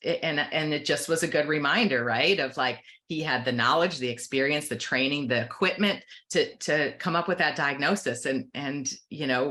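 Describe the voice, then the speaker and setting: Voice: mid-range voice, pushed and bright. An optometrist with an American accent who owns a practice, explaining things earnestly in a conversational interview. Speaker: optometrist and practice owner, podcast guest.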